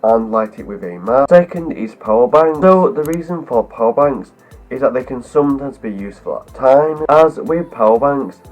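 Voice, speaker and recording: A man's voice: mid-range voice, expressive, normal speed, darkish tone, lo-fi sound, faint music behind. A man with a cold, talking through a rambling explanation as a video host, stringing clause after clause together. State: has a cold, voice deeper than usual. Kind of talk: scripted talk to camera.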